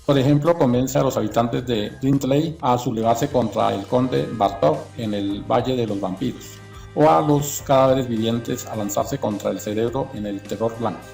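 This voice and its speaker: A man gives scripted documentary narration in a medium-pitched voice with a creaky grain. Soft music plays behind him. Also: normal speed.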